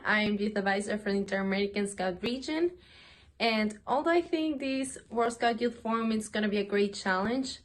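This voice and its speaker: A woman serving as a youth advisor, giving an earnest, hopeful recorded video message. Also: medium pitch.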